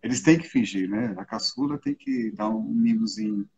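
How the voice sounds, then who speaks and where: A Brazilian man interviews a guest, his voice husky and a touch breathy. He keeps tagging phrases with a questioning "né?" and slips into rhymed lines. The recording is slightly dull and lo-fi. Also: fairly low pitch, huge pitch swings, moderate pace. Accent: Brazilian Portuguese. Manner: warm affirming, ends phrases with "né?", recites rhymes. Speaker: interviewer and storyteller. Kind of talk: interview with recited verse.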